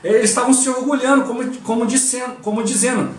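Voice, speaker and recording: A man's voice: very high-pitched, fairly even intonation, fast talker, slight background noise. A man with a Brazilian Portuguese accent giving a devotional sermon, talking conversationally and often breaking in with short invocations of the Lord. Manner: conversational, constantly interjects "O Lord Jesus" and "né". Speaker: preacher. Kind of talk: devotional preaching. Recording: roomy and distant from the mic.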